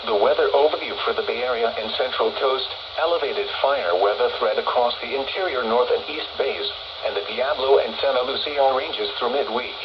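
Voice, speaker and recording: A synthetic male voice reading a scripted weather forecast in a measured, impersonal way over a radio broadcast. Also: mid-range voice. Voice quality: synthetic text-to-speech voice. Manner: measured, formulaic, impersonal delivery. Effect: radio broadcast transmission. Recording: muffled, noisy weather radio reception.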